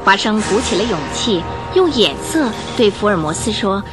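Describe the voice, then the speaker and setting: A woman narrator telling a scripted radio drama story in an expressive, suspenseful style. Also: medium pitch, moderate pace. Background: music under the voice.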